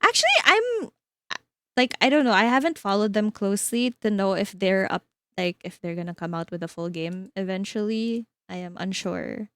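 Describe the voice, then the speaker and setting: A woman streamer hosting a casual group chat with friends, upbeat and quick to laugh. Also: mid-range voice, animated, a bit bright, no room sound. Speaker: streamer host.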